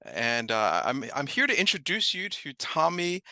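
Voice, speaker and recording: A man's voice: on the high side, wildly swooping pitch, moderate pace, pushed and bright, dry recording. An American man hosting a conversational interview. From United States. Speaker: interview host.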